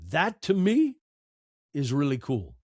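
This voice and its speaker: A man in his sixties with an American accent, giving reflective, earnest interview answers in short, emphatic, deliberate phrases. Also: a little high, huge pitch swings, darkish tone, breathy edge, dry recording, studio quality. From United States. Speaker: podcaster and storyteller, interview guest.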